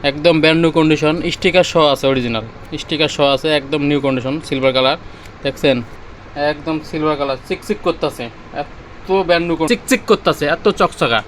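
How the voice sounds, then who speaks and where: A Bangladeshi man pitches goods in an unscripted, informal demo. His voice sits a little high and he talks very quickly, often repeating himself. Some urban background noise runs behind him. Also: fairly bright tone, low-quality recording. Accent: Bangladeshi Bengali. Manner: repetitive, pointing things out as he goes. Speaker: seller demonstrating used car audio-video equipment. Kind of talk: informal sales demo, off the cuff.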